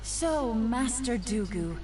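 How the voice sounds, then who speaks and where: An actress playing a shrewd young woman investigator in a video game, poised, formal and smoothly courteous. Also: on the high side, expressive, slow pace, a crowd in the background. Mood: smooth flattery. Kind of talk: scripted video game dialogue. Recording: game music behind the voice.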